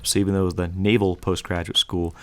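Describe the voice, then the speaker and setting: A man's voice: sits low, level tone, quick pace. A man co-hosting a podcast, talking casually and earnestly with frequent fillers. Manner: talkative, earnest, many fillers. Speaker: podcast co-host.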